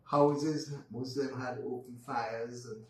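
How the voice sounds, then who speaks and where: An older Barbadian man in his eighties recalls his past in a steady, unhurried voice, full of pauses and restarts. He sounds set back from the microphone in a very echoey room, with a faint hiss of noise behind him. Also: mid-range voice. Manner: unhurried, with frequent ums and restarts. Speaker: guest. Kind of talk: reminiscing storytelling in conversation. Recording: roomy echo, distant mic, faint noise.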